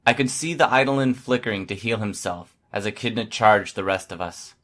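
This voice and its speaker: A man's voice: on the low side, brisk, a bit bright. Man narrating an action-heavy audiobook. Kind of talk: scripted first-person fiction narration.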